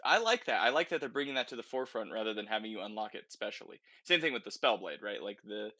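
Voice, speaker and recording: A man presents game details in a loose, informal podcast style. His voice is bright and crisp-edged, recorded dry and close. He speaks conversationally, leaning on filler words like "kinda" and "like". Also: lowish voice, sing-song, fast talker, no echo. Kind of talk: casual podcast discussion of a video game.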